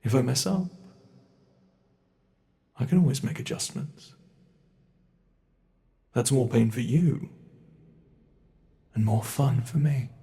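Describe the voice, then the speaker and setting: Casting: cruel monster, played by a man, unhurried, mocking delivery, medium voice, for an ASMR horror roleplay. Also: mid-range voice, expressive, gravelly, dark tone. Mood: playful, sadistic. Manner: slow, taunting, relishing cruelty. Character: sadistic male monster that reshapes its captive.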